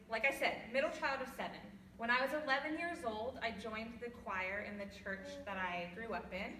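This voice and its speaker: A young woman from California with a loud voice, giving a personal talk to an audience in a church hall without a microphone. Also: mid-range voice, slight background noise. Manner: loud, laughing, self-deprecating. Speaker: guest speaker. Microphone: no microphone, far from recorder. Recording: echoey hall from far off.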